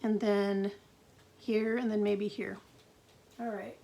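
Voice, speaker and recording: A middle-aged woman with a gravelly voice, chatting and thinking aloud through a live card-making demo at her craft desk. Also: mid-range voice, level tone, slow pace, dark tone.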